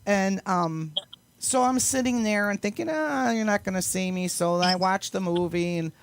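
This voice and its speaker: Chatty middle-aged woman with creaky voice. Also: medium pitch, moderate pace, no room sound. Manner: rambling, chatty, enthusiastic. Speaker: guest, amateur actress and singer. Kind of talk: casual interview chat.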